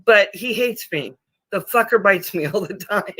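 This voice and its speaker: Rambling woman in her fifties, bright voice. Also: medium pitch, animated, slightly rough, no echo. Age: 50s. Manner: rambling, halting, with frequent restarts and laughs. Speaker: livestream panel guest. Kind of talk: casual group chat.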